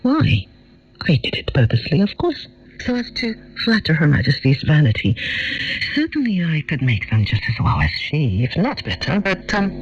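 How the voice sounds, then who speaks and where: A sly older court lady read by a male narrator, smug and knowing, speaks in formal storybook dialogue. The pitch is on the high side and swings in a lively way. It all comes through a dull shortwave radio recording. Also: moderate pace, slightly rough, heavily acted.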